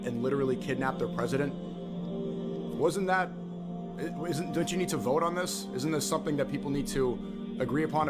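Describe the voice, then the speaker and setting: A man giving political commentary in a skeptical, questioning way. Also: mid-range voice.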